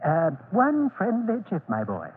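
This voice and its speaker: A man's voice: sits high, wildly swooping pitch, a little slow, husky, exaggerated voice. An actor in an old radio serial playing a shifty but genial old antique dealer who stammers and fusses as he talks. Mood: genial, sly. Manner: hesitant, stammering, fussy. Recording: tinny vintage radio recording.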